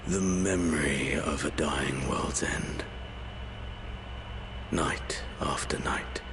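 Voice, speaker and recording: Very deep, brooding, weary man. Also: noisy background, exaggerated voice. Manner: hushed, grave, slow musing. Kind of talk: video game cutscene acting.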